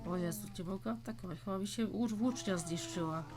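A woman's voice: medium pitch, fairly quick. A woman chatting casually while playing a game, fretting and remarking in short bursts. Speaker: player in a group gaming session. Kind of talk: casual gameplay chat. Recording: clearly noisy game-session voice track. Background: clear background noise.

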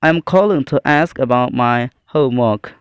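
A man teaching a scripted English lesson, reading out phrases in a deliberate, phrase-by-phrase way. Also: medium pitch, smooth, no echo, clean studio sound.

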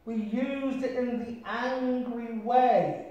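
A middle-aged man with a fairly high voice tells his story in a reflective, earnest way, repeating himself for emphasis. He sits well back from the mic, and the small room rings with a strong echo. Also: steady tone, slow, mellow, muffled recording. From Britain. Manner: earnest, repetitive, checks in with "right?" and "you understand me?". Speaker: interview guest, martial artist. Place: small room, sitting on a sofa. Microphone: distant camera mic. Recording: very echoey room, mic far off.

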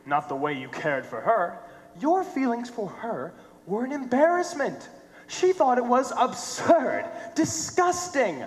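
A man's voice: very high voice, very animated, a little slow, exaggerated voice. A young man on stage playing a sardonic, theatrical tormentor who taunts cruelly. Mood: bitter, cruel. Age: young adult. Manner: theatrical, mocking. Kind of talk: high school stage play acting. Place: theater stage, filmed from the audience. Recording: echoey theater stage, noisy hum.

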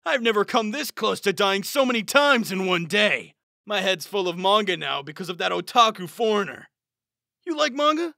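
A man playing a brash, reckless, cocky young gambler and fighter in an anime dub style, with excitable delivery. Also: high-pitched, moderate pace, vocal fry, heavily acted. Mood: cocky, amused. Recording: dramatic music behind the voice.

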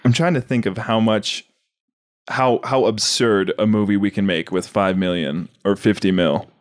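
Low-voiced young man, dry close sound. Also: fairly even intonation, normal speed, dry recording. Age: young adult. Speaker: podcast co-host. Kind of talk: casual comedic podcast chat.

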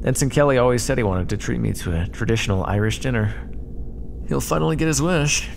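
A man playing a sardonic, battered starship first officer dictating a log in an audio roleplay, wry and exasperated, with muttered asides. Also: lowish voice. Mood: grim, wry. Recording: clear background noise under the voice.

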